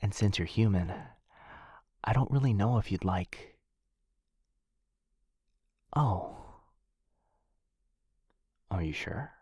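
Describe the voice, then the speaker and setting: A man playing a shy, affectionate young dog-person roommate in a boyfriend ASMR roleplay, speaking in a soft, halting, flustered way with a breathy, husky voice. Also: on the low side, animated, dry recording, highs cut off, full character voice. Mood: shy, worried.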